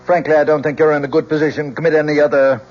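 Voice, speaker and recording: A man's voice: on the high side, flat delivery, racing, a little mellow, lightly acted. An actor playing a protective middle-aged professor and father in an old-time radio drama, polite, articulate and restrained. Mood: guarded, firm. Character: protective, proper professor, father of grown daughter. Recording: muffled, noisy old radio drama recording.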